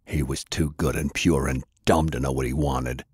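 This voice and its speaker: A man's voice: very deep voice, fairly even intonation, normal speed, slightly rough, no room sound, studio quality, voice pushed down, exaggerated voice. A man voicing a brutal, boastful, bitter male brawler with a taunting, sneering, growling delivery in an audiobook. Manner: taunting, sneering, slangy tough-guy talk.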